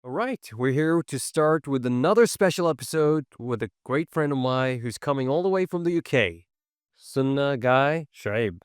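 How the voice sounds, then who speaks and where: A man's voice: mid-range voice, expressive, normal speed, clear, resonant tone, no room sound, studio quality. A man hosting a podcast, friendly, putting short questions to his guest. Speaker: podcast host. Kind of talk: casual podcast interview.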